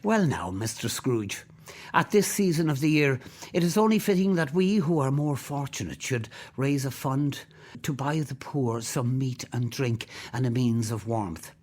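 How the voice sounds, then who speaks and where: A man playing a husky, genteel charity gentleman. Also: medium pitch, lively intonation, a little slow. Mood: earnest. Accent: Irish. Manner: courteous and earnest, softening at phrase ends. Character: polite, genteel male charity collector, courteous. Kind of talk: radio play acting.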